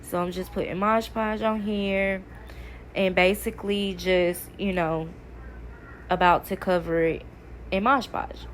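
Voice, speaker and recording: A woman's voice: mid-range voice, steady tone, a little slow. A woman, stuffy from a cold, chatting casually through a DIY craft tutorial. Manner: chatty, addresses viewers as y'all. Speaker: craft video creator. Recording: faint background noise.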